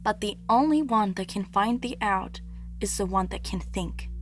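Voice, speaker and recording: A young princess of Greek tragedy, played by a woman, wistful yet proud and defiant. She tells her tale in measured, poetic stage monologue at a medium pitch, with soft music beneath. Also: lightly acted. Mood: reflective. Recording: music under the voice.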